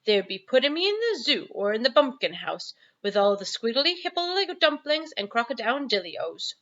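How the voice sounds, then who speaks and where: A woman plays a big friendly giant from a storybook, earnest and excitable. The voice is bright and forceful, leaning hard on drawn-out, muddled words. The recording sounds slightly dull. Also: a little high, voice pushed up. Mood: excited warning. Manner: emphatic, drawn-out words in mangled folksy grammar. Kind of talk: children's storybook read-aloud.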